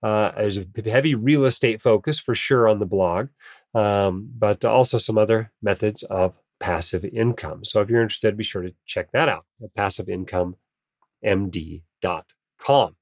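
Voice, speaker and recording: A man's voice: fairly low pitch, moderate pace, no room sound. A male podcast host with a cold that makes him sound a bit nasal, chatting in an upbeat, casual way with frequent "uh" fillers. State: has a cold, slightly nasal. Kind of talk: casual podcast monologue and interview intro.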